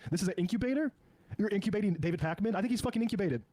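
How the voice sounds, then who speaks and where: A man's voice: high voice, very fast, dark tone, no room sound. A man giving opinionated panel commentary on a political talk show, indignant and emphatic. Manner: indignant, emphatic, sometimes profane.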